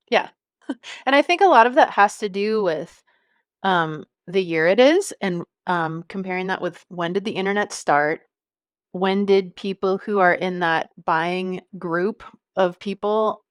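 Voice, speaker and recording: A woman's voice: lowish voice, no echo, studio quality. A woman in her fifties, a podcast guest talking about marketing in a friendly, conversational way, laughing easily and thinking aloud with fillers. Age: 50s. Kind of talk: conversational interview.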